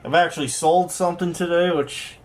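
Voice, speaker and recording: A man's voice: fairly high pitch, fairly even intonation, brisk. A man giving casual, unscripted show-and-tell commentary in a rambling, conversational way, often correcting himself as he goes. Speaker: hobbyist vlogger, host.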